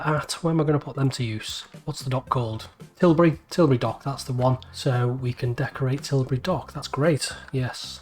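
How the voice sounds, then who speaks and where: A man with a Scouse accent giving casual gameplay commentary, chatting and thinking aloud with frequent restarts and asides to himself. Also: medium pitch. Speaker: video game let's-play creator.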